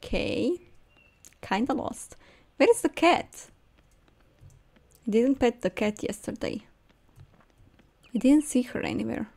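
A young woman giving casual gameplay commentary, expressive and musing to herself. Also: fairly high pitch, slow, gravelly, long pauses, husky. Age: young adult. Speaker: video game streamer. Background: soft game music.

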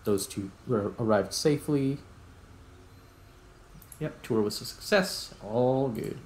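A man giving casual gameplay commentary, thinking aloud in short, unhurried phrases. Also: medium pitch, darkish tone. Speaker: gaming YouTuber, player commentating.

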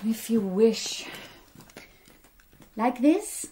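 An actress playing a haughty, sharp-tongued young British society woman, brusque and snobbish, in a radio play. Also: on the high side, animated, drawn-out words, slight background noise. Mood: offhand, haughty.

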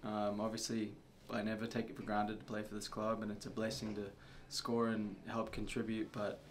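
A young man, a soccer player, answering questions at a post-match press conference in a subdued, halting way with many fillers. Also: fairly low pitch, completely flat delivery, slight background noise. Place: press room before a sponsor backdrop.

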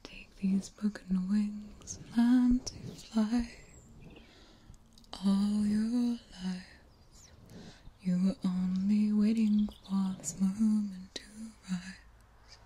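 A young woman whispering and softly singing lullabies as an ASMR host, recorded close on a binaural mic in a small room. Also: mid-range voice, fairly even intonation, extremely slow, pauses often, slight background noise. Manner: soft whispering, sings lullabies quietly.

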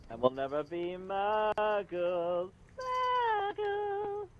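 A man's voice: sits high, big pitch swings, extremely slow. A man gaming online with friends, joking and swearing as he exclaims at the action. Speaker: gamer in party chat. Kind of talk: casual multiplayer banter.

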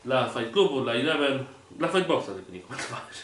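A man's voice: mid-range voice, sing-song, fast talker, fairly bright tone. Man in an echoey, noisy room. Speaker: podcast co-host. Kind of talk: casual unscripted sports chat. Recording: roomy echo, clear background noise.

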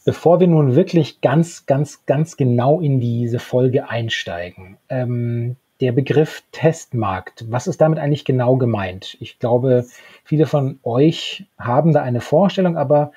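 A man in his thirties co-hosting a podcast, discussing a radio drama in a conversational review style, with a husky voice. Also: mid-range voice, a little slow, dark tone. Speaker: podcast co-host. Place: home room with bookshelves. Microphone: desktop microphone, close.

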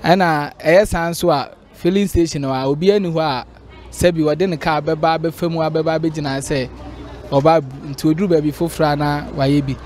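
Young male interviewee, Ghanaian, lighter high pitch, recorded outdoors with slight background noise. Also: fairly even intonation, brisk, darkish tone. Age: young adult. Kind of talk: street interview. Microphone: handheld interview mic. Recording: faint outdoor street noise.

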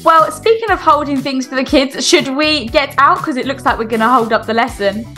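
A woman roleplaying a doting mother, cheery, reassuring and chatty in an animated improvised style for children. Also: sits high, fairly quick, soft background music. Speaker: host of a Roblox family roleplay.

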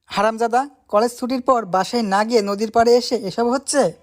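A man voicing a stern middle-aged father and schoolmaster, scolding loudly in an audio story. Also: extremely high pitch, moderate pace, voice pushed up. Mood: angry. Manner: loud, scolding. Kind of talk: acted audio story dialogue.

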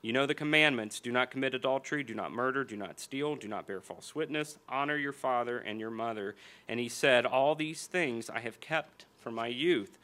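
An American man in his forties delivers a sermon from a church lectern into a small stand mic. His voice is bright and forceful at a middle pitch. He reads scripture earnestly, slows down to lean on key phrases, and repeats them. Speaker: pastor. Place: church hall stage at a lectern.

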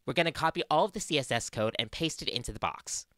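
A woman's voice: low voice, animated, quick pace, fairly bright tone, dry recording. A young adult woman with an Australian accent presenting a scripted tutorial into a close studio mic. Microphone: close studio mic on boom arm.

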